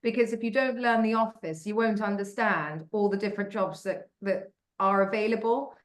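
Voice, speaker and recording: A woman's voice: medium pitch, steady tone, moderate pace, echoey room. A woman in her forties speaking frankly and emphatically as a panel speaker, often repeating her main points. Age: 40s. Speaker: panel speaker giving career advice. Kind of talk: conversational panel Q&A.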